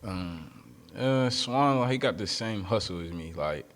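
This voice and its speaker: A man in his early twenties, a podcast guest, answering briefly and quietly. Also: on the low side, lively intonation, unhurried. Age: 20s. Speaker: guest, clothing brand owner.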